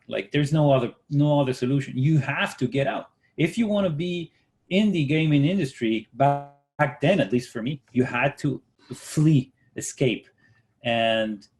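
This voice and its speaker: A man in his forties who grew up in Latin America with Spanish as his first language, teaching an online workshop in a relaxed, rambling way with many fillers and restarts. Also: mid-range voice. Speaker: workshop instructor, concept artist. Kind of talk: casual online workshop talk.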